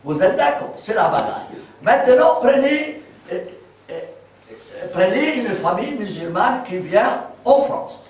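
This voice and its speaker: A man's voice: high-pitched, sing-song, a little slow. A man in his sixties from Palestine giving a public talk, explaining points with simple examples and asking his listeners whether they agree. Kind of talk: lecture with audience questions. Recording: echoey room, speaker far from the mic.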